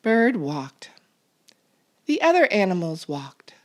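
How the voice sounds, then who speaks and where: A woman reading a picture book aloud as a storytime narrator, deliberate and expressive for young children. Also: mid-range voice.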